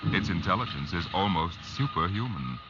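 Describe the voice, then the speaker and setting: Male vintage radio host-narrator: low register, highly varied intonation, measured drama, music behind. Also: expressive. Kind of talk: 1950s radio scripted narration. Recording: dull, band-limited old radio recording.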